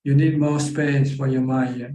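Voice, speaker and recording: A man with a Thai accent who teaches meditation, answering a caller's questions on a live video call in a calm, patient, plain instructive way. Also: mid-range voice, normal speed, reverberant.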